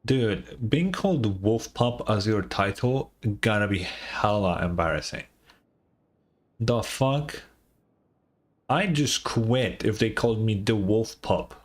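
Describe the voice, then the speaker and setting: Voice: medium pitch, expressive, takes it slowly. A streamer giving casual, sweary, informal livestream commentary and reactions to a game. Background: game music.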